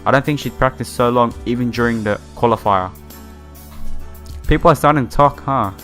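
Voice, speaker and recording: A man reading a visual novel aloud and commenting casually as a let's player, halting and informal. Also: mid-range voice, fairly bright tone. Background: game music.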